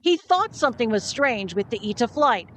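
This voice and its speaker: A woman news reporter reads scripted narration in a fairly high voice. There is some faint noise behind her, as in a broadcast clip. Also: sing-song, normal speed.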